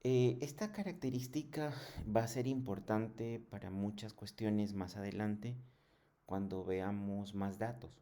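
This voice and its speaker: A man giving a programming tutorial in a halting, didactic way, often checking in with short questions. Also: lowish voice, steady tone, mellow. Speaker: programming instructor. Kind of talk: screen tutorial lesson.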